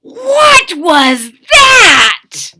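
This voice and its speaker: Excitable storybook kitten boy, played by female reader: very high, forceful, exuberant, drawn-out delivery. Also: a little hiss or hum, exaggerated voice. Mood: excited exuberant. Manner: exuberant, sing-song, drawn-out exclaiming. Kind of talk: storybook read-aloud.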